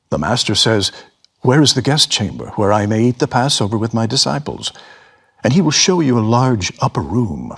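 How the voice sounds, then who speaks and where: A man with a husky voice giving a solemn, expressive dramatic reading of scripture as a voice actor. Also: fairly low pitch.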